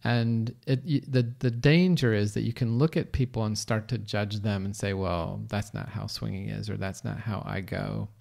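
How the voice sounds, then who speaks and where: Gravelly low-voiced man podcast co-host. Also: fairly even intonation, darkish tone. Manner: earnest, halting, many restarts. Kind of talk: casual chat.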